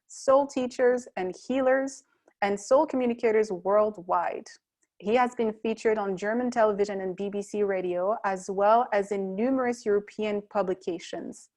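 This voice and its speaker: Chatty woman hosting a podcast. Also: medium pitch. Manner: upbeat, chatty, with small restarts while reading a guest bio. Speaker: podcast host. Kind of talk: podcast interview.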